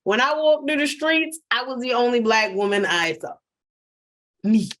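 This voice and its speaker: Animated woman podcast host. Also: fairly high pitch, moderate pace. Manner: animated, addresses listeners directly, emphatic. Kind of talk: casual chat, storytelling.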